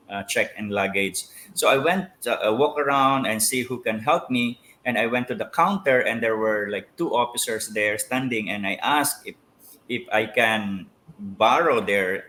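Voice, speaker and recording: Man, Filipino accent, low voice, hesitant and repetitive, faint background hiss. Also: sharp tone, low-quality recording. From Philippines. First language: Filipino language. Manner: halting, many fillers and restarts. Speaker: pastor, guest. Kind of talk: relaxed interview answers.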